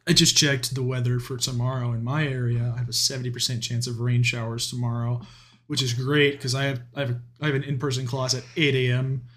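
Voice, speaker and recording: A man in his early twenties with a mid-range voice co-hosts a podcast. He talks with animated energy, laughs often and swears casually. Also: mid-range voice, quick pace. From Texas. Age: 20s. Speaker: podcast co-host. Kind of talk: casual chat.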